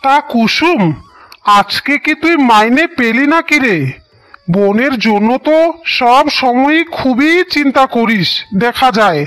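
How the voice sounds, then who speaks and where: A woman voicing an affectionate older village shopkeeper uncle in a cartoon story, talking in a fatherly, gently teasing way. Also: on the high side, expressive, a little slow, no room sound. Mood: teasing, caring. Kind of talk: Bengali cartoon story dialogue.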